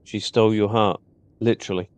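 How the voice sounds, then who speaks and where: A man's voice: lowish voice, steady tone, brisk, clear, resonant tone, no echo. A man giving casual commentary as he plays through a video game. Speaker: gaming video host.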